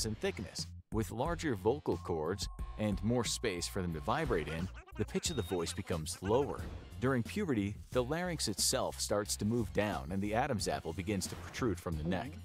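A man giving scripted educational narration in an even, explanatory way, played from a video. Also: lowish voice, normal speed. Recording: played video with faint music.

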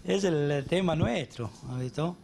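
A man from India with an Indian accent, giving casual, halting interview answers. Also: a little high, gravelly, darkish tone. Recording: noisy on-location TV interview.

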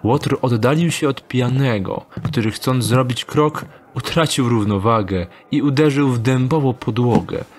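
A man narrating a scripted dark fantasy audio drama in a measured, deliberate storytelling manner. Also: mid-range voice, faint music behind.

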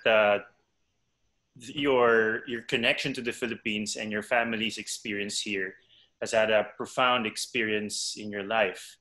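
A young man interviewing a guest over a video call, asking questions gently with fillers. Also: mid-range voice. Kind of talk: online interview.

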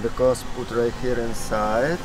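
A middle-aged man from Russia with a Russian accent gives a casual hands-on demonstration in halting, simple English, often repeating words. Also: mid-range voice. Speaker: beekeeper instructor. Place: outdoors in a wooded yard. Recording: clear steady outdoor background noise.

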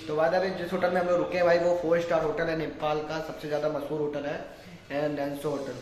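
A man's voice: on the high side, little pitch movement, brisk, a little mellow, rough recording. A young man chatting casually and informally to viewers as a travel vlogger, using slang, on a handheld phone. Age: young adult. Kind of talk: casual vlog chat to viewers. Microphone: phone held at arm's length.

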